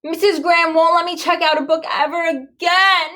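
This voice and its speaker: A woman's voice: very high voice, steady tone, moderate pace, sharp tone, voice pushed up. A woman voicing an anxious young girl in a storybook, pleading and wailing dramatically. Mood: fretful. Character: anxious young girl fretting over a lost book.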